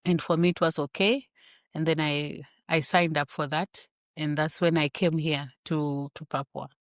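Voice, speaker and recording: A woman from Zimbabwe, a grandmother and physician, telling her story in an interview, with thoughtful pauses and repeated words. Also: sits low, fairly even intonation, no echo, clean studio sound. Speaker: guest, infectious disease physician.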